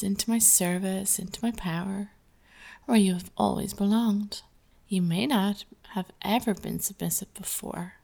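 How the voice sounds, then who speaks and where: Soft commanding woman with gravelly low tones. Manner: slow, commanding, hypnotic delivery; soft, often half-whispered. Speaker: hypnosis roleplay performer. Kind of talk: scripted ASMR hypnosis roleplay.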